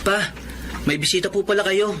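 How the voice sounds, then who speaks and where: An actor playing a polite, flustered, youthful eldest son in a Filipino radio drama. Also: male voice, sits high, moderate pace, noisy background, natural voice.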